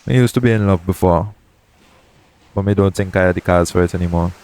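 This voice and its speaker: A man playing a shady, jaded yet tender pirate captain in an intimate audio roleplay, talking colloquially and frankly, with gentle teasing. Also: low voice, brisk, lightly acted. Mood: frank, resigned. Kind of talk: intimate audio roleplay acting addressed to the listener. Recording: clear background noise, ambient sound bed.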